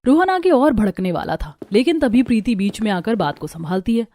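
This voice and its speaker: A woman's voice: on the high side, sing-song, a little fast, mellow, dry recording. A woman narrating a scripted cartoon story.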